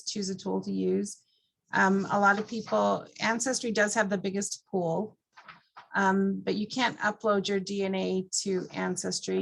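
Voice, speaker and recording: Creaky-voiced woman leading an informal class. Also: mid-range voice, fairly bright tone, poor audio. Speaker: class instructor. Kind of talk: informal instructional talk with group questions.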